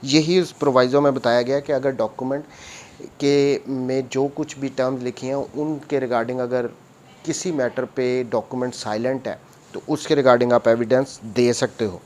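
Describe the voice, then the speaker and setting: A man with a Pakistani accent giving an explanatory law lesson as a lecturer, mixing in English legal terms and reading clauses deliberately. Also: mid-range voice, steady tone, darkish tone. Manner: switches between Urdu and English legal terms. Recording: noticeable background noise.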